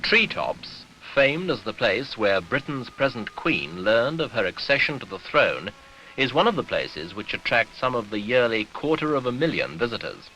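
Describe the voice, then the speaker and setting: A man narrating a 1970s documentary newsreel in a formal, measured, authoritative way, on an archival soundtrack. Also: mid-range voice, big pitch swings, pushed and bright, lo-fi sound, a little hiss or hum.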